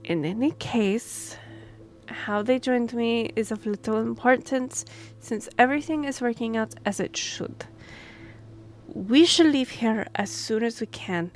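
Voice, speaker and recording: A woman's voice: fairly high pitch, lightly acted. A woman playing a formal, courteous and firm half-elf envoy in a fantasy audio drama. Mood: composed. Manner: formal, measured, diplomatic. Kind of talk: scripted fantasy audio roleplay.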